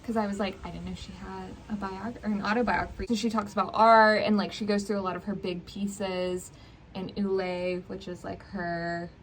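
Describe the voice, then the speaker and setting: A young woman talks in a loose, conversational way at a middling pitch. She feels her way through sentences with plenty of fillers. There is noticeable ambient noise behind her. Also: level tone, moderate pace. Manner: hesitant, filler-heavy, informal delivery. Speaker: book vlog co-host. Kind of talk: casual book chat. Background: ambient noise, people in the distance.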